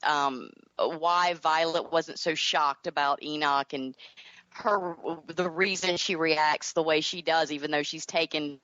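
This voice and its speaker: Female interview guest, Southern American accent, lowish pitch, conversational, on muffled lo-fi audio. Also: fairly even intonation, unhurried, fairly bright tone, no echo. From Southern United States. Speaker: interview guest, author and creator. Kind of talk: casual interview chat. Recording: dull lo-fi audio.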